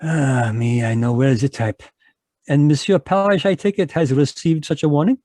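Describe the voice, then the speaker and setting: An actor playing a fussy, courtly Belgian detective, in a live radio drama over a video call. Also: male voice, fairly high pitch, moderate pace, a little mellow, no room sound, studio quality, lightly acted. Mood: smug, courtly. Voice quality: precise, courtly French-inflected delivery. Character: fussy male Belgian detective, polite and sly.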